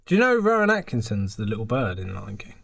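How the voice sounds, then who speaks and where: A man's voice: fairly low pitch, huge pitch swings, quick pace, creaky, a bit bright, slightly rough, no room sound. A man with an English accent chatting casually as a podcast co-host, teasing and steering with questions. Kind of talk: casual joking banter.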